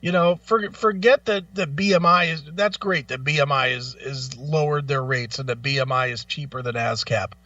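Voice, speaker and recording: A man's voice: mid-range voice, moderate pace, hardly any pauses, pushed and bright, dry recording, recorded from a distance, lo-fi sound. A man hosting a talk show with blunt, emphatic, opinionated commentary, casual in style and repeating phrases for stress.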